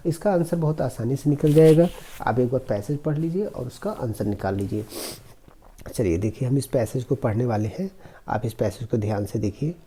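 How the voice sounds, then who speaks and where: A man teaches an exam-prep English lesson, his voice very dark and mellow. He reads each English line slowly and deliberately, then switches to an easy explanatory tone to unpack it. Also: mid-range voice, a little hiss or hum.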